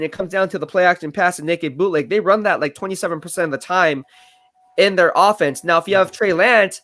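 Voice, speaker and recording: A man with a high, bright-sounding voice runs a football live stream. He talks quickly and energetically, piling up fillers and repeated phrases as he breaks down plays. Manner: energetic, fast-talking, full of fillers and repeats. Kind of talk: casual sports analysis livestream.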